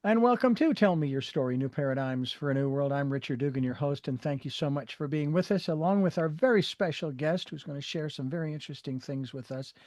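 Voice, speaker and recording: A male show host with a somewhat high but mellow, soft-edged voice. He rambles a little, restarting sentences and filling gaps with "uh". The sound is close and dry, with no room around it. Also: brisk, hardly any pauses, dry recording. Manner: rambling with many fillers and restarts. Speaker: podcast host. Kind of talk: hosting an interview show.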